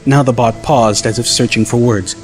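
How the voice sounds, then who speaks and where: A man narrating an audiobook in the first person, measured and dryly wry. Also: mid-range voice, fairly even intonation. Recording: clear music bed behind the voice.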